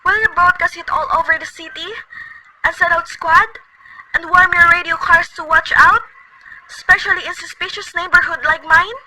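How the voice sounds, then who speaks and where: Girl doing a shrill nervous invalid lady stuck in bed, whiny and frantic, very high voice, loud hiss behind. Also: fairly even intonation, normal speed. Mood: pleading. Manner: frantic, complaining, near tears. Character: bedridden nervous woman, shrill and demanding. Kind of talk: student radio play reading. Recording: loud background noise.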